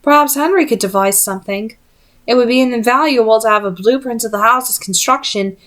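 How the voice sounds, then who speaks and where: A woman's voice: on the high side, a little fast, fairly bright tone, lightly acted. Woman reading as a cocky, impatient teenage boy hunter. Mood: musing. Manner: cocky, insistent. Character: bold, impatient seventeen-year-old male demon hunter.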